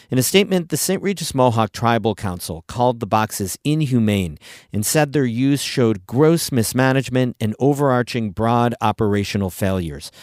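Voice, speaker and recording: A man hosts a public radio news podcast. His voice sits at an average pitch and the sound is very dry. He reads scripted news in a conversational way. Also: darkish tone, no room sound.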